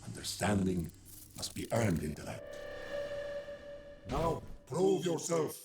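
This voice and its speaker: An actor playing a deep-voiced combat machine in a game, speaking in terse, deliberate phrases. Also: male voice, sing-song, music in the background. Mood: cryptic warning.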